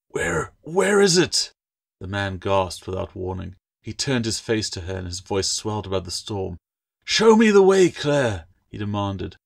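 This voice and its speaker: Low gravelly guy narrating an audiobook, dramatic storytelling. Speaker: author reading own novel.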